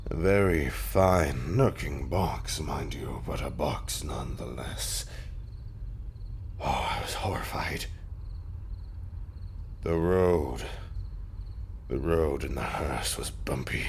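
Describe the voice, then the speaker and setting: Guy doing an undead husband back from the grave, super deep, slow n halting, anguished but tender, whispery audio roleplay, insect noise behind. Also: heavily acted. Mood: horrified, bitter. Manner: slow, halting, often dropping into breathy whispers. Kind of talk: intimate dramatic monologue, audio roleplay.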